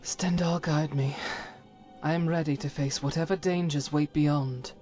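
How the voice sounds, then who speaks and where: An actress playing a devout, troubled warrior woman in a fantasy game, solemn and earnest with halting delivery. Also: low-pitched, fairly even intonation, dark tone, faint music behind. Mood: grave, resolved. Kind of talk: dramatic fantasy game voice acting.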